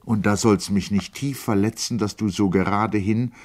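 A man reading aloud and voicing a proud, wounded, sharp-tongued older woman in an audiobook, lifting his pitch for her lines. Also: steady tone, normal speed, natural voice. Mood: reproachful.

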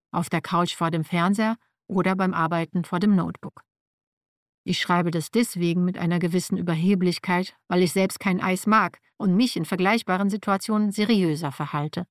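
A woman's voice: on the low side, normal speed, no echo, studio quality. A woman whose first language is Slavic reads a personal nonfiction text aloud in a wry, matter-of-fact way. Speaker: narrator.

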